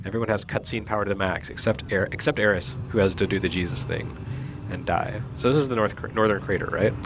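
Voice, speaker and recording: A man's voice: lowish voice, quick pace, background noise. A man giving casual, joking, rambling commentary on video game cutscenes in a sardonic way. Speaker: reviewer and co-host.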